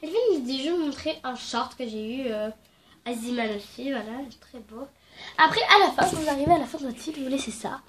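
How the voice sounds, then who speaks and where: A woman's voice: high voice, expressive, a little fast, fairly bright tone. A young woman with a stuffy nose from a cold, chatting playfully and rambling through a craft tutorial filmed at home on her phone. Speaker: craft vlogger. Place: at home indoors. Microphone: phone camera.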